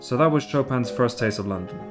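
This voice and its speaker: A man's voice: mid-range voice, brisk, music in the background, natural voice. An actor playing a polite, curious man conducting an interview in a radio play, conversational and inquisitive.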